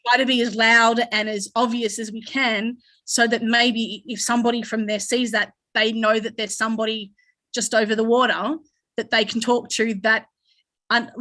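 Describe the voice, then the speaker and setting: A woman's voice: a little high, fairly bright tone. An Australian woman speaking on a conference panel, rambling, with frequent restarts and fillers.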